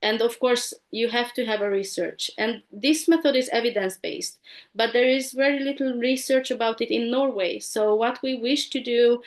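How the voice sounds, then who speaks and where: A woman's voice: fairly high pitch, moderate pace. A middle-aged woman, a therapist and guest, speaking over a video call in casual chat, hesitant and full of fillers. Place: home room with bookshelves, indoors. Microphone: video call with desk microphone.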